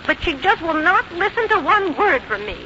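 A woman's voice: very high voice, sing-song, moderate pace, bright, forceful tone, heavily acted. An actress playing a fretful, anxious, wordy American woman in a 1950s radio drama. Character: fretful, anxious, chattering woman, a worried confidante. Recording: muffled 1951 radio recording with hiss.

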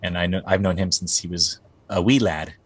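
Low husky man rambling in a dry booth. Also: steady tone, fairly quick, no echo. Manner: rambling, with many fillers and restarts. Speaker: guest musician, band singer. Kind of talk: casual interview chat.

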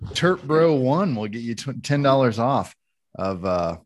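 A man's voice: medium pitch, sing-song, measured pace. A man co-hosting a casual podcast, talking loosely and laughing often while reading a sponsor spot. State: high on cannabis. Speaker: podcast co-host. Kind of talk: casual chat with sponsor read.

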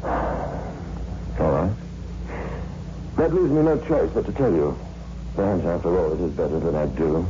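An actor playing a grave, secretive man in an old-time radio horror drama, speaking in a measured, formal, ominous way. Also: sits low, animated. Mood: grave, resigned. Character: grave, secretive brother guarding a dark family secret. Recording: dull, hissy old radio recording.

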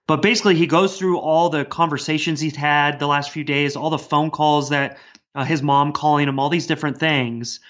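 A man's voice: a little high, a bit bright. A man speaking earnestly and discursively as a guest author in a casual podcast conversation. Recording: dull, lo-fi sound.

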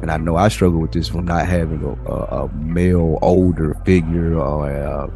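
A young man with a very low voice talks through a casual podcast. He rambles in a laid-back way and swears freely. Faint music plays underneath. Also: steady tone, normal speed, creaky, mellow. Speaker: podcast co-host.

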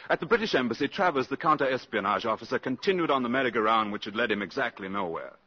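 An actor narrating a wartime spy drama in a formal, measured 1950s radio style. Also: male voice, medium pitch, lively intonation, pushed and bright, a little hiss or hum. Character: grave storyteller of a wartime spy tale. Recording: dull 1950s radio broadcast.

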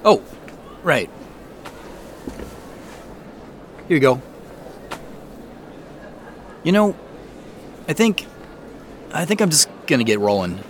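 A man's voice: a little high, sing-song, slow, long pauses, slight background noise. A man acting in an audio drama as a wary, uneasy protagonist who also narrates the story. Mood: awkward, polite.

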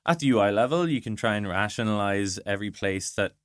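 A man's voice: on the low side, animated, fast, no echo, clean studio sound. A man being interviewed as a guest, explaining his points conversationally and thinking aloud with illustrative asides. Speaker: interview guest, product design director.

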